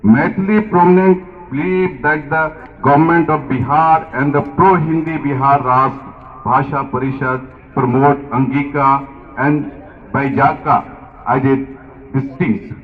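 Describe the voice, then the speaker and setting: A man giving a formal prepared speech at a language day event, reading in a halting way and often repeating or restarting words. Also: mid-range voice, fairly even intonation, phone-quality sound.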